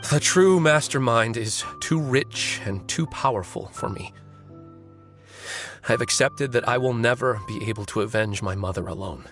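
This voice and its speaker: A man voicing a weary, composed novelist and confessed avenger in a video game, speaking politely in measured, articulate phrases. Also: mid-range voice, a bit bright, close to an everyday voice. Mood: weary, resigned. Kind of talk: dramatic video game voice acting. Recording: music behind the voice.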